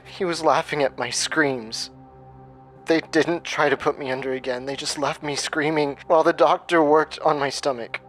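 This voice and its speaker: An actor playing a haunted, traumatised man, telling his story in a halting, grave horror monologue. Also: a little high, fairly even intonation, fairly bright tone, soft background music, lightly acted. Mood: pained, uneasy. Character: haunted, traumatised man recounting a childhood nightmare.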